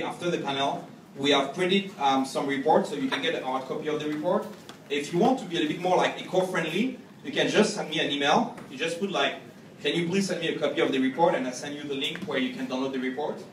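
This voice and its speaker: A man's voice: a little high, recorded from a distance, slight background noise. Man with a presenting in an echoey hall. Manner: halting, many restarts and "like" fillers. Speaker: conference speaker presenting a report. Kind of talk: conference presentation. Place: event hall.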